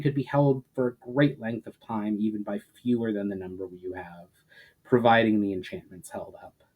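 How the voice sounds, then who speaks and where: A man reads the part of a male elf war leader in a fantasy storybook. He is decisive and commanding, with a middle-pitched voice. His delivery is assured, deliberate and matter-of-fact. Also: a little mellow, close to an everyday voice, rough recording.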